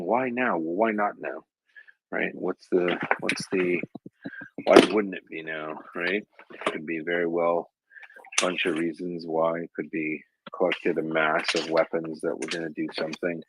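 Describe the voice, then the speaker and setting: A man's voice: sits low, fairly even intonation, normal speed, noisy background. A man in his thirties hosting a casual chat, teasing and joking with guests. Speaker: host.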